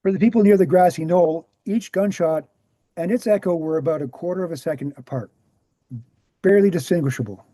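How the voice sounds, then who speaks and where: A man playing an earnest, weary veteran researcher explaining things in a measured way at a screenplay table read. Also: on the high side, mellow, no room sound, natural voice. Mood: explanatory.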